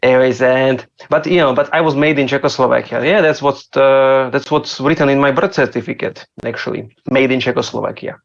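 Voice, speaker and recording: A man's voice: mid-range voice, fairly even intonation, fairly quick, gravelly, hardly any pauses, lo-fi sound. A man from Slovakia doing open mic stand-up, hesitant, with many fillers and restarts. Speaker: stand-up comic.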